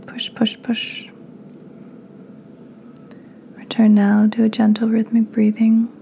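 A woman hosting a guided meditation in a soft, breathy, near-whispered voice, calm and soothing with unhurried pauses. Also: on the high side, a little hiss or hum. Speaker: podcast host, meditation guide.

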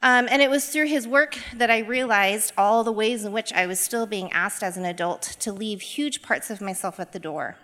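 A middle-aged woman from Idaho speaks to a live audience in a bright, clear-cut voice. She talks in an earnest, reflective way. A long reverb from the hall trails each phrase. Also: medium pitch. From Boise, Idaho, US. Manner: earnest, reflective, measured storytelling. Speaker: equity coach, guest speaker. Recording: echoey hall.

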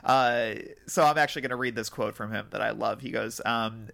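A man's voice: medium pitch, fairly quick, creaky, bright, forceful tone. A man hosting a podcast, talking casually about film and interviews. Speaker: podcast host. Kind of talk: casual film discussion with quoted interview material.

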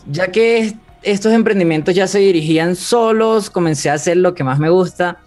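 A man telling his own story as a podcast guest. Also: a little high. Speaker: podcast guest, streamer and content creator. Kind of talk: personal storytelling.

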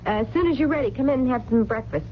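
An American actress playing a compassionate, stubborn working-class waitress with direct, slangy, wry talk in a 1970s radio drama. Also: female voice, a little high, rapid-fire, natural voice. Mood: friendly, brisk. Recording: dull, noisy vintage radio broadcast recording.

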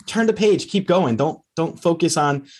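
A man's voice: fairly high pitch, expressive, normal speed, darkish tone, breathy edge. A man hosting an interview, speaking in an encouraging, advice-giving way and asking his guest thoughtful questions. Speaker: host. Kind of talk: conversational interview.

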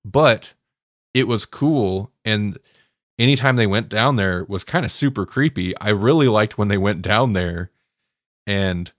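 A man co-hosting a casual review podcast, animated as he talks and voicing reactions. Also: on the low side, a little slow, dry recording, clean studio sound. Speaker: podcast co-host.

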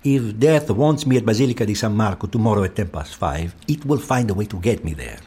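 An actor playing a resigned, courteous man in an audio drama, speaking in a measured, precise way. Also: on the low side, expressive, warm tone, husky. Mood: insistent, fatalistic. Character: resigned, courteous man calmly fatalistic about his death.

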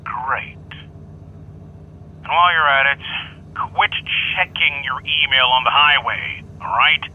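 A man's voice: medium pitch, slow, phone-quality sound. A man playing a stern, world-weary city police detective in an audio drama, terse and wry, heard through a phone filter. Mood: wry, official. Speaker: supporting actor in an audio drama. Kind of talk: cinematic audio drama acting.

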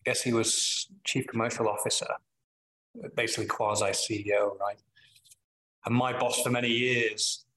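Middle-aged Manchester man, a guest reflecting on leadership: bright, forceful tone, thoughtful pauses and self-corrections. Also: lowish voice, flat delivery, a little hiss or hum, rough recording. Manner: thoughtful, with frequent pauses and restarts. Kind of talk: reflective interview answers.

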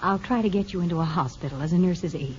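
An actress playing a kindly, businesslike prison matron in a 1950s radio drama. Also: female voice, fairly low pitch, quick pace, a little mellow, slight background noise, natural voice. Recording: muffled old radio broadcast.

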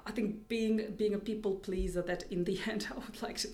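A woman's voice: mid-range voice, steady tone, fairly quick, mellow. Russian accent lady being interviewed, restarts a lot, roomy echo. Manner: thoughtful, many restarts and repeated words. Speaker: tech executive guest. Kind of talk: podcast interview.

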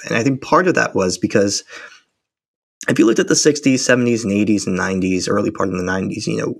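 A man guesting on a political podcast, his voice gravelly with a husky edge. He argues steadily, stacking up points and leaning on rhetorical questions. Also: lowish voice. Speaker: guest, author. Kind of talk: long-form political interview.

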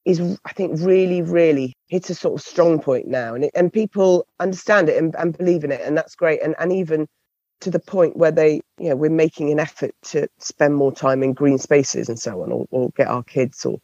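A woman with a croaky, hoarse voice, answering interview questions thoughtfully and often repeating words as she searches for phrasing. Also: low voice, normal speed, dark tone, dry recording, dull audio. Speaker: podcast guest.

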